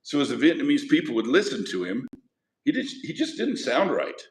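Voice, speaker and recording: A man's voice: medium pitch, wildly swooping pitch, fairly quick. A man teaching a history class, lecturing in a conversational way and putting questions to his students. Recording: echoey classroom.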